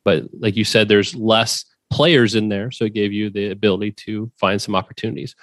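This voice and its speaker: Deep-voiced joking podcast co-host. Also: male voice, steady tone, brisk, fairly bright tone, no echo. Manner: joking, conversational, summarizing. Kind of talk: casual podcast chat.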